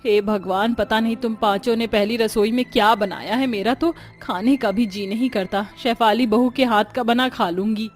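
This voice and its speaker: Scolding older Indian mother-in-law played by a female narrator, high voice, fussy, exclaiming, story drama. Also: fairly even intonation, normal speed, few pauses, soft background music, close to an everyday voice. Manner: exclaiming, complaining.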